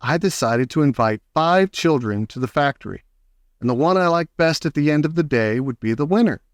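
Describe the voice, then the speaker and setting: A man voicing an eccentric old chocolate factory owner in a storybook reading, kindly but brisk and emphatic. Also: a little high, lively intonation, dry recording, studio quality, natural voice. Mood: earnest, confiding.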